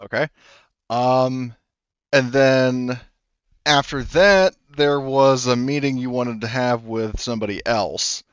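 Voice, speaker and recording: A man's voice: mid-range voice, slow pace, smooth, no room sound, highs cut off. A man running a tabletop roleplaying game as game master, talking loosely with many fillers.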